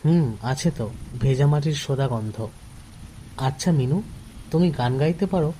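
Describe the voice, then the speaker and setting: Guy acting a shy quiet teen schoolboy in audio drama, mellow voice, some noise behind him. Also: a little high, measured pace, close to an everyday voice, poor audio. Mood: warm, curious. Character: shy, lonely fourteen-year-old blind schoolboy.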